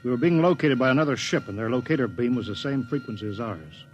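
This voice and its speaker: An American actor playing a wary, commanding spaceship captain in a 1950s science fiction radio drama, giving clipped, authoritative orders. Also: male voice, mid-range voice, quick pace, natural voice. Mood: grave. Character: stern, wary male spaceship captain, commanding and duty-bound. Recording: muffled vintage radio recording, faint music.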